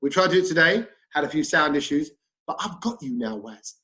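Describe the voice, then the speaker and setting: A man hosting a podcast in a casual, animated, jokey way. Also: a little high, brisk, husky. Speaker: podcast host.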